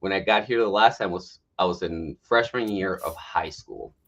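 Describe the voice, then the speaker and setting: A man's voice: sits low, moderate pace, a bit bright, highs cut off. A man in his late thirties from Mexico tells his life story as a guest on a morning livestream, speaking frankly and poking fun at himself. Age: 30s. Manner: candid, self-mocking storyteller who laughs often. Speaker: guest health coach.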